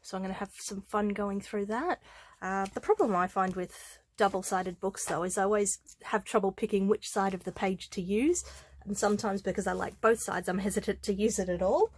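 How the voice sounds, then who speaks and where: A woman's voice: mid-range voice, a little hiss or hum. A woman, a little congested from a head cold, casually showing craft supplies with plenty of ums and uhs. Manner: chatty, unhurried, with frequent ums and uhs. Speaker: craft vlogger. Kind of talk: casual show-and-tell vlog.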